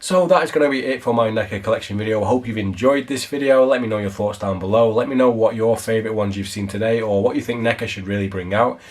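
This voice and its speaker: A man with a very low, hoarse voice that sounds worn out, as if he is losing it. He talks about his collection in a loose, rambling way and often restarts his sentences, like a casual vlogger showing off his shelves. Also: quick pace, few pauses. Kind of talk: casual show-and-tell of a collection.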